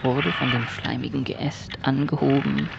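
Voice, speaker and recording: Male actor as disoriented nightmare narrator, surreal radio play, gravelly, deliberate broken phrasing, muffled lo-fi sound, loud background noise. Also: medium pitch, big pitch swings. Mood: morbid, intense. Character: haunted, disoriented man recounting a surreal nightmare. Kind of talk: surreal first-person radio drama monologue.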